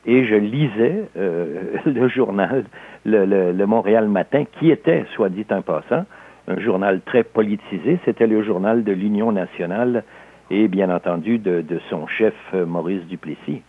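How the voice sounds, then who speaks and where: Older husky-voiced Québécois man, measured. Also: on the low side, phone-quality sound. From Alsace, France. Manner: measured, halting with frequent hesitations. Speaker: interview guest, novelist. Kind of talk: reflective interview talk.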